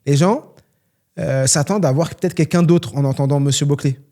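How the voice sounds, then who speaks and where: Earnest man with a mellow voice. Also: fairly high pitch, brisk. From France. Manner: earnest, motivational, many fillers. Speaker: podcast host and trainer. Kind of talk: solo Q&A podcast talk.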